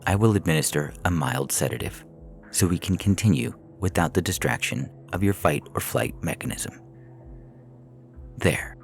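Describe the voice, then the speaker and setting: A man reading audio fiction as a calculating artificial intelligence, coolly persuasive and menacing, speaking in a measured, clinical, formal way. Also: deep voice, lively intonation, creaky, a little hiss or hum. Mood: calm menace. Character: calculating prison AI, four years old, coolly persuasive, menacing.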